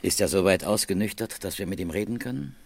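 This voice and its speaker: An actor playing a stern, methodical police detective in a German radio crime drama, speaking in a firm, deliberate, matter-of-fact way. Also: male voice, low voice, creaky, a little mellow, a little hiss or hum. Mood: businesslike.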